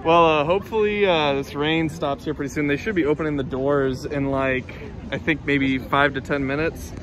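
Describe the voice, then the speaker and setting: A man's voice: a little high, steady tone, normal speed, crowd noise. A young man from Kansas chatting casually into a phone held at arm's length, rambling with lots of fillers and restarts. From Kansas, USA. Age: young adult. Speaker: vlogger. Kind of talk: casual vlog chat. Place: street outdoors, then inside a parked car.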